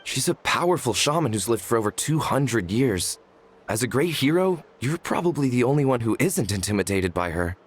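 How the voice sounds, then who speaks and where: A man plays a timid, earnest villager from a video game. He speaks at a medium pitch with an anxious, apologetic manner, over faint background ambience. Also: very animated, moderate pace, a little hiss or hum, close to an everyday voice. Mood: nervous, relieved. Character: timid, earnest young male villager, nervously deferential. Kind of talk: video game character dialogue.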